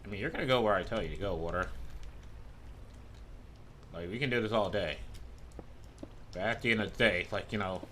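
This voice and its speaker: A man's voice: fairly low pitch, a little fast, pauses often, sharp tone, slight background noise. A man streaming a game in a loose, rambling chat who swears freely, and who is losing his voice. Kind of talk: casual gameplay chat.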